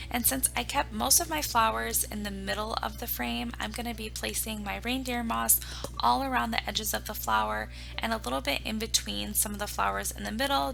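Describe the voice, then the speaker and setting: Raspy-voiced woman hosting a craft tutorial. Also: on the high side, bright, forceful tone. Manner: chatty, explains each step plainly. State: raspy voice from recent illness. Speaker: home decor DIY channel host. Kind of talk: casual step-by-step craft tutorial.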